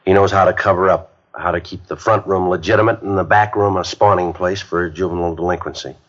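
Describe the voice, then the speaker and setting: An actor playing an earnest police sergeant rehearsing a school speech in a 1950s radio drama, heard on a muffled old broadcast recording. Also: male voice, low voice, fairly even intonation, quick pace, a bit bright. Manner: earnest, plain-spoken lecturing. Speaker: police detective giving a speech.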